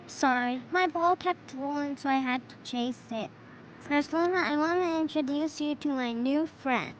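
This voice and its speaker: A woman's voice: high-pitched, normal speed, muffled recording, slight background noise, lightly acted. A woman voicing a cheerful, cheeky four-year-old boy in an audio drama. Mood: chirpy, cheeky. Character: cheerful little boy, four, cheeky and chatty hospital patient.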